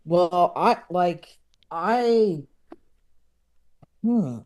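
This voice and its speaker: A man's voice: high-pitched, animated, very slow, a little mellow. A man chatting casually as an interview guest, hesitant and rambling, with a self-deprecating way of talking. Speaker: podcast guest, comedy writer and filmmaker.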